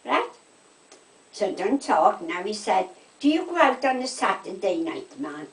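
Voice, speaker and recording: An older woman with a South Wales Valleys accent, telling gossipy stories in casual, animated chat. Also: mid-range voice, unhurried. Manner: animated anecdotes, quoting conversations, checking with "right?". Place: indoors at home. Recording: echoey room, distant microphone.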